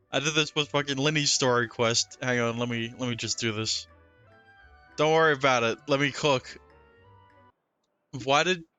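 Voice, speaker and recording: Casting: male livestreamer, medium pitch, crisp and bright, informal and sweary, reacting to a game. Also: dry recording. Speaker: game streamer. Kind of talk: casual live gameplay commentary.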